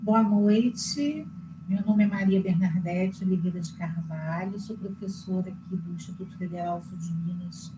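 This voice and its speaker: A Brazilian woman who is a teacher, chatting casually and slowly, with many little filler tags. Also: on the low side. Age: older. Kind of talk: casual live chat. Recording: echoey room with clear background noise.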